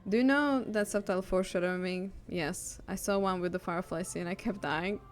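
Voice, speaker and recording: A woman streamer giving casual livestream game commentary with playful, animated reactions. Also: medium pitch, moderate pace, faint music behind.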